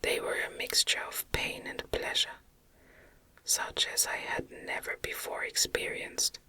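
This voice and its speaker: A woman reading a gothic novel aloud as ASMR, in a gentle, breathy, unvoiced whisper with careful, unhurried phrasing. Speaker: audiobook narrator, ASMR reader.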